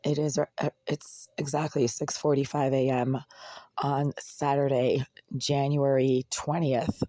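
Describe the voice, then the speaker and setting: Hoarse, raspy voice with a cracking break. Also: mid-range voice, flat delivery, takes it slowly, dark tone, no echo. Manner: aggrieved, ranting, insults critics. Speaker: vlogger. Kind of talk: casual complaint vlog. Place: cold apartment indoors.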